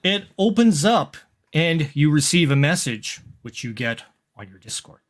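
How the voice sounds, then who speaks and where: A man's voice: on the high side, very animated, a little slow, a little hiss or hum. A man narrating a tabletop roleplaying game as game master, addressing the players in the second person.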